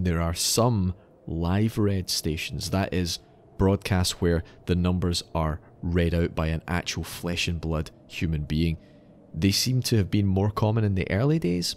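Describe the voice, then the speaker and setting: A deep-voiced man hosts a podcast, explaining things in prepared narration with a conversational, wry edge. There is a little background noise on the recording. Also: darkish tone. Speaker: podcast host. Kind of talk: scripted narration mixed with casual chat.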